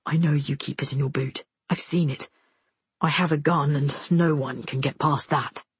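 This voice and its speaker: Woman putting on a low, hushed, gentle soldier. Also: steady tone, normal speed, slightly rough, dry recording. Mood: urgent, hushed. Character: gentle, protective young male soldier, remorseful.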